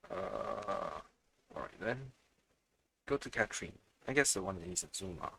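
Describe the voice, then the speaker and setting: A man's voice: sits low, lively intonation, measured pace, gravelly, a bit bright, slightly rough. A man doing casual let's play commentary on a livestream, often muttering to himself as he plays. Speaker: game livestreamer.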